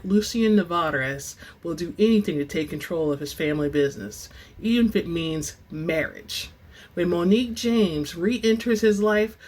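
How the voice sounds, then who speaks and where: Woman, book reader and host, theatrical delivery, expressive, informal touches. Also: medium pitch. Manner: informal, says y'all. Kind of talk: dramatic audiobook-style reading with casual asides.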